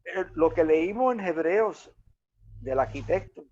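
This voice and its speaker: Halting man, English native, speaking Spanish. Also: on the high side, animated, darkish tone, muffled recording. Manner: halting, searching for words. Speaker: Bible study participant. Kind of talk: casual Bible discussion.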